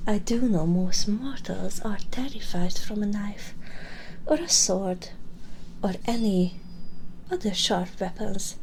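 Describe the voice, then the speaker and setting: A woman playing a sadistic, vengeful, centuries-old vampire in an intimate ASMR roleplay, taunting condescendingly in breathy half-whispers on a close binaural mic. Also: mid-range voice, slow, a little mellow, a little hiss or hum, poor audio. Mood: sadistic, teasing. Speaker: audio roleplay performer. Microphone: close binaural microphone.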